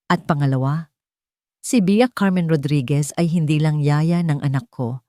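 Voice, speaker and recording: A woman voicing a calm, dignified, protective billionaire who speaks firmly and with weight in a story. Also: sits low, moderate pace, dry recording, clean studio sound, lightly acted.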